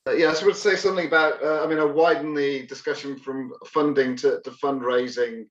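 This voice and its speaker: A man's voice: fairly high pitch, fairly even intonation, a little fast. Man, video call, dull lo-fi sound, hesitant, repeats words. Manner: hesitant, repeats words while building a point. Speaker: workshop participant. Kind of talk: online group discussion.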